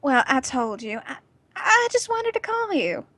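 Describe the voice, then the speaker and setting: A woman playing a nervous, evasive suburban wife and mother in an amateur radio play, with a hesitant, halting delivery. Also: high voice, very animated, fairly bright tone, heavily acted.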